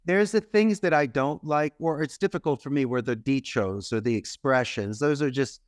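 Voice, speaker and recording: A man's voice: medium pitch, normal speed, no echo, studio quality. A man in his fifties with a Philadelphia accent, telling his story as a guest in an emphatic, speech-like way. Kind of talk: interview storytelling.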